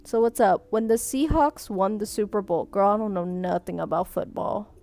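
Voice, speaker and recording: A woman's voice: mid-range voice, moderate pace, mellow. A young woman chatting casually while reading viewer submissions, Vietnamese as her first language, laughing often and trailing off mid-sentence. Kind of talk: casual chat.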